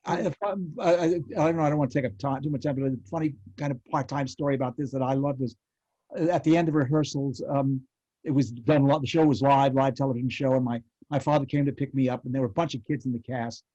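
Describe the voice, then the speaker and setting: An older man reminiscing casually as a panel guest, telling stories in a halting, rambling way over an online call. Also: mid-range voice, fairly even intonation, mellow, lo-fi sound. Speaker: panel guest, former child radio actor. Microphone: video call.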